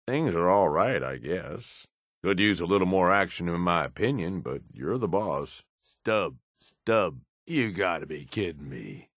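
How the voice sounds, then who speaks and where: A man playing a gruff, middle-aged American raider henchman in a video game, cynical but loyal, with folksy, profane, drawling speech. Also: extremely low pitch, a bit bright, dry recording, studio quality, exaggerated voice. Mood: grudging, then bitter, angry. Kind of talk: video game companion dialogue.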